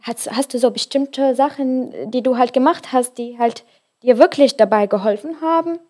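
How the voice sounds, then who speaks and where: A woman's voice: high-pitched, moderate pace, warm tone. A woman interviewing a guest, asking friendly, encouraging questions. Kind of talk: casual interview.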